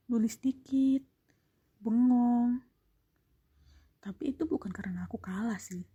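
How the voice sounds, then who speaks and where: A young woman, confiding gently in a breathy, half-whispered, intimate reflective monologue as a solo podcast host. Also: medium pitch, lo-fi sound.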